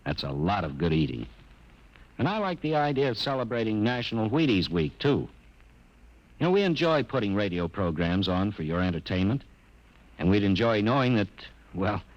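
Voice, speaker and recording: A man reading a commercial in an easygoing, folksy manner on old radio. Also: lowish voice. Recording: dull old radio transfer.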